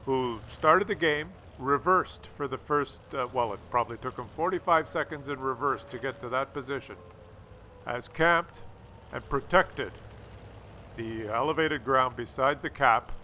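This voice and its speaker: A man's voice: on the high side, sharp tone, a little hiss or hum. A man giving casual, rambling video game commentary in a conversational, mildly sardonic way. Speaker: gameplay commentator.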